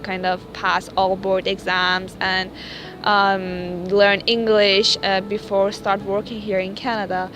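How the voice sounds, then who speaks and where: A young woman from Iran, whose first language is Persian, answers interview questions casually with many hesitant fillers. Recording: noisy background with rumble.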